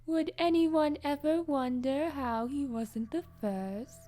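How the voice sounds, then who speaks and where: Ancient, eerie witches, played by a woman, chanting spells in a high, drawn-out voice. They speak in cryptic riddles, slow and ominous, with faint music underneath. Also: warm tone. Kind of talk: fan audio drama acting.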